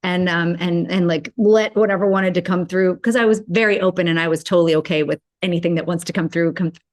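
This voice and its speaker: Rambling, lower-pitched German-born woman. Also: steady tone, quick pace. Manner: rambling, many fillers and restarts. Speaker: guest, spiritual channel. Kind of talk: casual conversational chat.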